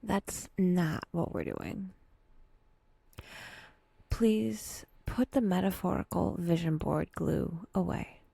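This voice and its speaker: A woman softly leading a guided meditation in a breathy, intimate voice that often dips toward a whisper, unhurried with long pauses and gentle wry asides. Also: lowish voice, animated, no echo.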